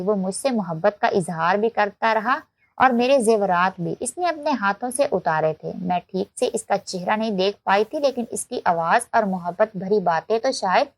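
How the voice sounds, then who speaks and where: A woman's voice: medium pitch, dry recording. A woman narrating a scripted, emotional first-person story as a storyteller.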